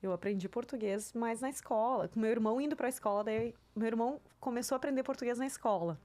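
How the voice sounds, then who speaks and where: A woman's voice: fairly high pitch, fast talker, dark tone. A woman in her thirties, a native German speaker, chatting reflectively as an interview guest and often tagging her sentences with "né?". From southern Brazil. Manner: reflective, tags sentences with "né?", light laughs. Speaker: interview guest, career mentor. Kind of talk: casual interview chat.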